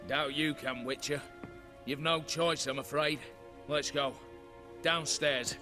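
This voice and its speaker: A man playing a ruthless gang ringleader in a fantasy video game, gravelly, curt, domineering and impatient. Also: fairly high pitch, fairly even intonation, slow. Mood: impatient, commanding. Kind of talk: cinematic game dialogue. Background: music.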